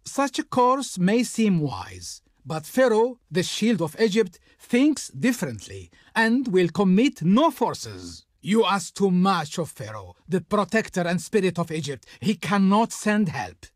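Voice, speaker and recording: Snooty pharaoh's envoy for a strategy game, super dramatic n insulting, voice swings all over, no room echo. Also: male voice, sits high, big pitch swings, unhurried, few pauses, clean studio sound, exaggerated voice. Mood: haughty refusal. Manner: pompous, insulting, imperious. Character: haughty, scornful male Egyptian envoy of the Pharaoh. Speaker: diplomat character in a strategy game. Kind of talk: theatrical scripted game voice lines.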